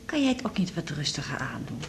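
An actress playing a worried middle-aged mother in a radio drama, hushed and self-reproaching. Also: low voice, very animated, fast talker, vocal fry, a little hiss or hum, exaggerated voice. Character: middle-aged mother, anxious and guilt-ridden.

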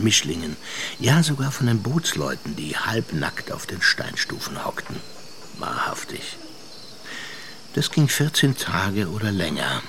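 A man's voice: deep voice, noisy background, exaggerated voice. A male actor playing a reflective, wry seaman who tells his memories in a radio drama. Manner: musing, storytelling, sardonic. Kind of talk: radio drama first-person narration. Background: music.

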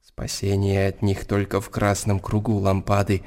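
An actor narrating as a grave chronicler of monastery life in a radio play, restrained and matter-of-fact. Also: male voice, low-pitched, monotone, moderate pace, darkish tone. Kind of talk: scripted radio drama narration.

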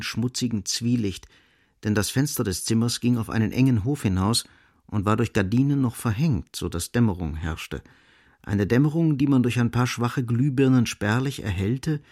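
A man playing the sombre narrator of a literary radio drama, reading with grave, measured and deliberate phrasing. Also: medium pitch, no echo, studio quality, lightly acted. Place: studio.

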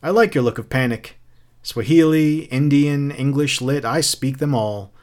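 A man's voice: medium pitch, unhurried, darkish tone, close to an everyday voice. A man voicing a smug, taunting fire captain in a story, mocking and theatrical as he spouts literary quotations. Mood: gloating, taunting. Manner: theatrical, mocking, quote-laden speech.

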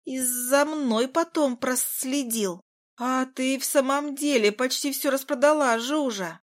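A woman's voice: high-pitched, unhurried, voice pushed up. A woman voicing a small cheeky female creature that giggles and gossips in an audiobook.

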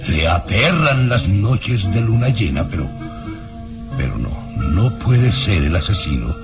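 A man's voice: deep voice, sing-song, unhurried, heavily acted. An actor playing a scheming older baron in an old radio drama, stammering and flustered as he denies the others' claims. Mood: agitated. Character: scheming older nobleman, a baron, sly and jittery. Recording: radio serial with music underneath.

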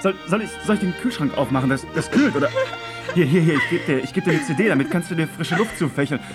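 A man plays a brash young trainee teacher in a radio drama with loud music behind him. His slangy delivery swings widely in pitch, cocky and crude and easily flustered. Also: animated, fast talker, natural voice. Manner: slangy, brash, stammering when rattled. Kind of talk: German radio play acting.

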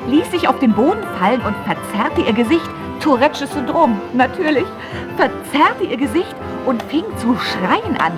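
A young adult daughter, curt and wry, worn down by a smothering father. Her voice sits a little high. She moves between dialogue and first-person narration in a radio drama. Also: exaggerated voice. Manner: curt, blunt. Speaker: actress.

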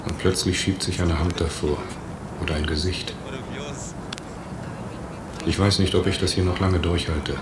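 A very deep, monotone man's voice as a despairing prisoner in a radio play. He sounds withdrawn and halting, in an echoing space under heavy tape noise. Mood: despairing, numb. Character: despairing lonely male prisoner reading his letter. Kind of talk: radio drama letter monologue. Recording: very noisy cassette, echoey room.

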